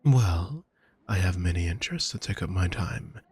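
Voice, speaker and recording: A man playing a doting, courtly vampire shopkeeper in an intimate audio roleplay, with a husky voice and gentle, flowery, formal speech addressed to the listener. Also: sits low, animated, moderate pace. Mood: wistful, seductive.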